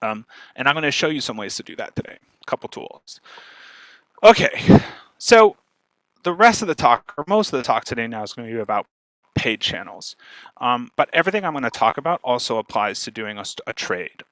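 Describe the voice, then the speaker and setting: Bright-voiced man giving an informal talk. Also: medium pitch, dry recording, rough recording. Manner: fast, animated talker who checks in with "right?". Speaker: speaker, podcast marketer giving a talk.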